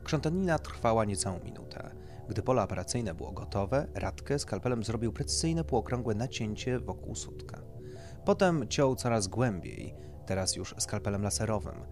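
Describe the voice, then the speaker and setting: A man's voice: on the low side, lively intonation, normal speed, music in the background. A man narrating a scripted horror story as an audiobook reader.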